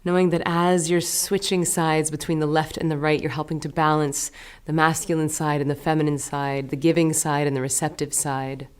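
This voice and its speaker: A woman leading a guided meditation as a teacher, giving gentle, unhurried instructions with pauses between phrases. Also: low voice, little pitch movement, clear, resonant tone.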